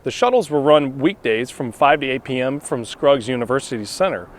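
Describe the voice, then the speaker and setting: A man's voice: medium pitch, lively intonation, fairly quick, a little hiss or hum. A man in his twenties with an American accent, delivering scripted reports as a television news reporter.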